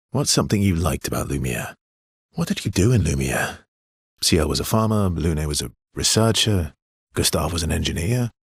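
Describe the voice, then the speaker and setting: A gentle, world-weary man on an expedition in a video game. His voice sits deep in the chest, heavily breathy and rasping, with gravelly fry. He speaks in an understated, hesitant way with dry wit. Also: no echo, full character voice. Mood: gentle, wistful. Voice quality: low, husky, gravelly. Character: weary, wry, gentle male expedition wanderer with secrets. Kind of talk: video game dialogue acting.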